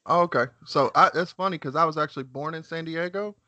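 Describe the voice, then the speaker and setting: A man in his thirties raised in West Virginia, hosting a podcast with a nervous, halting manner and lots of "man" and "you know what I'm saying". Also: on the high side, bright, forceful tone, dry recording, dull audio. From West Virginia, USA. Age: 30s. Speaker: podcast host. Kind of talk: casual interview.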